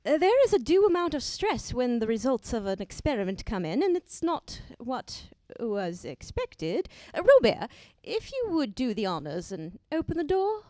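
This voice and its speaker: High, halting brainy woman professor played by an actress. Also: sing-song, mellow, no echo. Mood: measured. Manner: halting, pedantic, many uhs. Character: brainy, absent-minded woman professor, adjunct to the multiverse. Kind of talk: scripted audio drama acting.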